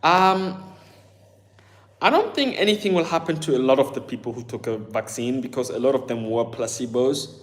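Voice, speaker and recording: A man's voice: medium pitch, expressive, moderate pace. A man with an accent that mixes Southern English, German and Ghanaian, chatting casually and rambling to his live-stream viewers. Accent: mix of Southern English, German and Ghanaian. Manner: rambling, full of fillers, addresses chat as bro. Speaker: livestream host.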